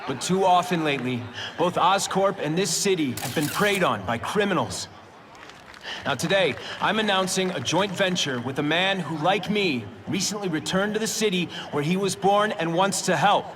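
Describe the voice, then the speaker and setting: An actor playing a young corporate heir making a formal, firm announcement in a video game. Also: male voice, sits high, expressive, normal speed, noisy background. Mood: formal, resolute. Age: young adult. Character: young male corporate heir, stiffly resolute. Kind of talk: video game cutscene acting, press announcement. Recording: music and crowd behind, outdoors.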